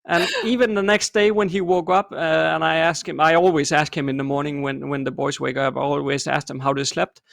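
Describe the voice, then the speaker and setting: Gravelly Danish man chatting on a podcast. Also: a little high, fairly quick, hardly any pauses, dry recording, studio quality. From Denmark. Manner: relaxed storyteller with frequent fillers and restarts. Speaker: podcast co-host. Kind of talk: casual chat.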